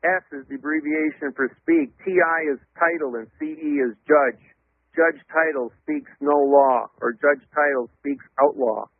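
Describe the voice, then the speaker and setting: A man calling in as a radio guest, lecturing emphatically in a loud voice and spelling out words letter by letter. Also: fairly high pitch, steady tone, moderate pace, no room sound. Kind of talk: radio call-in interview. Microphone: telephone. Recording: tinny phone line.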